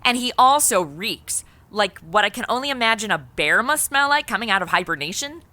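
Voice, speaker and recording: Animated, sarcastic woman storyteller. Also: medium pitch, fairly quick, dry recording. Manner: sarcastic, cheeky. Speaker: comedy podcast host and narrator. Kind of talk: conversational comedic narration and acting.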